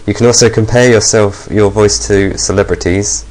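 A man demonstrating software while his voice runs through a pitch-shifting voice changer, rambling with ums. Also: steady tone, moderate pace, rough recording. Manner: rambling, with many ums and restarts. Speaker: software reviewer. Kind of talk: casual screen-recording tutorial.

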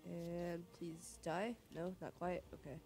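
A young woman sniffly with a cold, thinking aloud in low-key, casual commentary as she plays a video game. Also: level tone, takes it slowly, vocal fry, a little mellow. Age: young adult. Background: game music.

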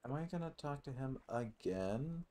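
A man's voice: mid-range voice, lively intonation, moderate pace, warm tone. A man giving casual, sweary game commentary, muttering to himself as he plays. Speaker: gaming streamer.

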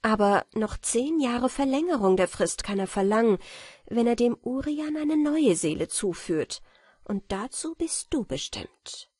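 A woman's voice: fairly high pitch, animated, unhurried, a little mellow, breathy edge, no room sound, clean studio sound. A woman voicing a sly, defiant talking magpie in a fairy-tale audiobook, speaking in an insistent, dramatic way. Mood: urgent, warning.

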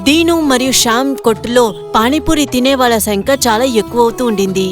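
A woman's voice: fairly high pitch, a little fast, over music. A woman reading scripted narration for a moral story.

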